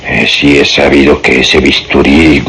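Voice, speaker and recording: Un actor interpretando a un médico cortés e inseguro, de hablar titubeante, en una radionovela. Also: male voice, extremely low pitch, little pitch movement, a bit bright, heavily acted, rough recording. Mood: hesitant, uneasy. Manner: hesitant, halting, polite. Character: hesitant, courteous male doctor, uneasy under questioning. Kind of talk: radio drama acting.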